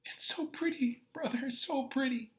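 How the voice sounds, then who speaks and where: A man voicing a frail little boy who clings and pleads in a high, whining voice while reading a story aloud. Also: a little slow, fairly bright tone, reverberant. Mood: tender awe.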